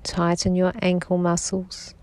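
A woman guiding a sleep meditation in a slow, soft-spoken, soothing manner. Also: on the low side, completely flat delivery, clean tone. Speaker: meditation guide.

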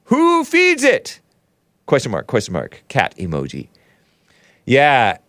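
Man, livestream host, joking chat reader, lively swooping pitch, unhurried delivery, dry close sound. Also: dry recording. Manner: jokey, reads chat messages aloud in mock voices, chuckles often.

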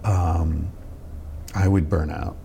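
A man hosting a podcast, talking in a relaxed, rambling way with plenty of fillers. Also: low voice, takes it slowly, gravelly, slight background noise. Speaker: podcast host. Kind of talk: casual interview conversation.

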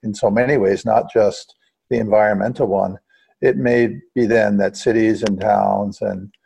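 A man's voice: on the low side, gravelly, darkish tone, breathy edge. An American man co-hosting an environmental news podcast, speaking in a hedging, self-correcting way. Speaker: podcast co-host. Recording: dull, muffled lo-fi audio.

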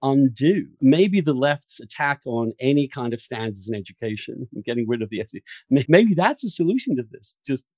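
A man's voice: mid-range voice, big pitch swings, moderate pace, few pauses, a little mellow, no room sound. Emphatic male podcast host, dry close sound. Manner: emphatic, sweary. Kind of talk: opinionated interview conversation.

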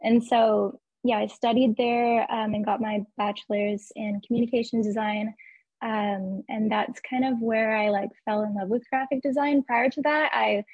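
A woman's voice: fairly high pitch, fairly even intonation, moderate pace, a little mellow, husky, no echo, dull audio. A young woman from Arizona, a graphic designer guest, talking in a friendly way full of "like" and "um". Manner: friendly, lots of "like" and "um". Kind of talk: casual interview.

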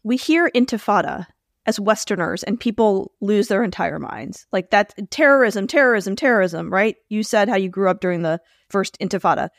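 A woman's voice: mid-range voice, lively intonation, moderate pace, no room sound, clean studio sound. Emphatic woman podcast host. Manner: forceful, emphatic, repeats points for punch. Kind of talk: impassioned opinion monologue and interview.

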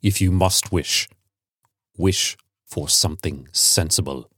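A man voicing a middle-aged sergeant major in a story, grave and haunted, speaking in a quiet, deliberate, warning way. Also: low voice, dry recording, clean studio sound, pitched below the performer's own voice, exaggerated voice. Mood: stern warning.

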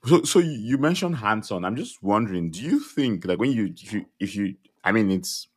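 A male podcast host with a low voice interviews his guest. His speech rises and falls in a lively way. His questions wander and restart before he lands them. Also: moderate pace. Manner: asks rambling questions with restarts.

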